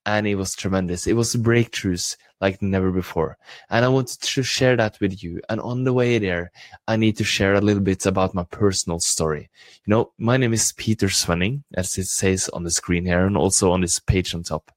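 A man's voice: low-pitched, moderate pace, no echo. A man with a Norwegian accent giving an enthusiastic motivational live broadcast talk as a coach trainer. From Norway.

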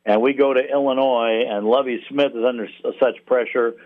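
A man heard over a thin, phone-like radio line, giving sports analysis as a guest announcer. His pitch sits mid-range, and he talks haltingly, pausing in the middle of thoughts. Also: fairly even intonation. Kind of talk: sports interview, analytical talk.

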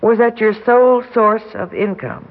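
An actress playing an earnest, persistent American welfare investigator, polite but stubborn, in a 1960s radio drama. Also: female voice, fairly high pitch, moderate pace, narrow, tinny audio. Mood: polite, apologetic.

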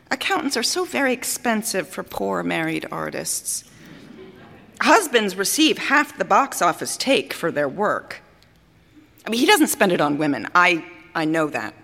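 In a reverberant hall, a vain, capricious female opera singer delivers a theatrical monologue. Her voice is on the low side and swoops widely in pitch. She speaks grandly and deliberately, pausing for effect, scornful and adoring by turns. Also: vocal fry, a bit bright, exaggerated voice. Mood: scornful complaint. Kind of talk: theatrical dramatic monologue before an audience. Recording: reverberant hall stage recording.

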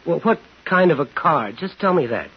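A man's voice: fairly high pitch, sing-song, normal speed, husky, heavily acted. An actor playing a determined, probing homecoming serviceman, terse and hard-boiled, in 1950s radio thriller style. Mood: urgent, demanding. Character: determined, probing man in uniform, bitter homecomer. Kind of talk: 1950s radio thriller acting and first-person narration. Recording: dull 1950s radio recording.

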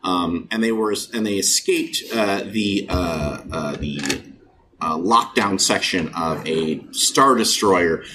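A man hosting and running a streamed tabletop role-playing game, pausing often with filler sounds between phrases. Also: low-pitched, background noise. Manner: halting, many filler sounds. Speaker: game master.

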